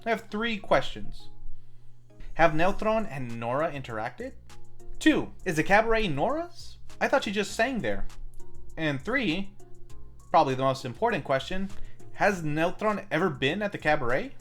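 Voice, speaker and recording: A man's voice: a little high, big pitch swings, normal speed, a bit bright, faint music behind. A man hosting a film review, reading a scripted plot recap and adding wry commentary of his own. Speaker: film review show host.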